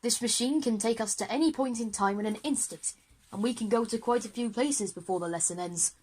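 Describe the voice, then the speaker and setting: A woman's voice: a little high, brisk, a little mellow, lightly acted, rough recording. A woman playing an enthusiastic, easily flustered male history teacher in a children's audio drama, with an eager, wordy lecturing manner.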